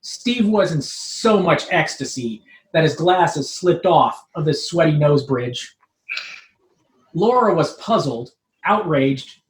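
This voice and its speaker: A woman reading a scripted comic story aloud as narrator. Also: fairly low pitch. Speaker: troupe member reading own comic story. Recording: roomy, echoing live room.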